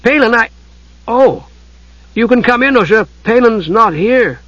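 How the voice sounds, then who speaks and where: A man voicing a small, cheerful, guileless kender in an audiobook, with a high squeezed voice. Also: expressive, a little slow, fairly bright tone, dry recording, highs cut off, full character voice. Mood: bright.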